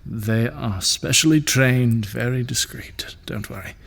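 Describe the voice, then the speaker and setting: A man playing a gentle middle-aged ruler and father in an audio drama, measured, tender and soft-spoken. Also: medium pitch, creaky. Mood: fond, teasing. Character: gentle fatherly ruler, weary, reassuring widower.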